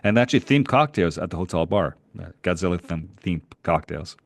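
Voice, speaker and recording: A middle-aged man from Canada with a low, deep voice tells a long, animated story on a podcast. He rambles and chuckles as he goes. The sound is dry and close, with no room echo. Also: fairly quick, vocal fry. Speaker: podcast co-host. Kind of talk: casual chat, travel storytelling.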